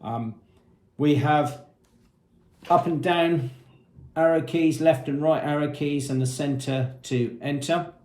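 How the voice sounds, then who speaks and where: A man's voice: on the high side, fairly even intonation, slow pace, pauses often, darkish tone, reverberant. A man presenting a product demonstration in a methodical, matter-of-fact, explanatory way.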